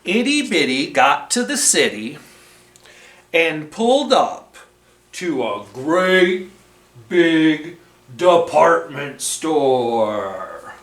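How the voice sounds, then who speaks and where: A man reading a storybook aloud to children as a storytime host, expressive, with dramatic pauses and emphasis. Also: on the high side. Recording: roomy, echoing room sound.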